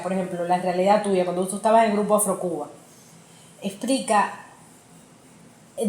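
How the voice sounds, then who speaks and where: A woman's voice: medium pitch, darkish tone. Female interviewer in an echoey room. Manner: direct, short questions. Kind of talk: interview questions.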